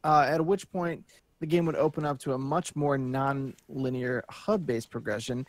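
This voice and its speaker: A man's voice: mid-range voice, fairly even intonation, normal speed, dry recording. A man co-hosting a podcast, reading news aloud and chatting in an informal, joking way. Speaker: podcast co-host.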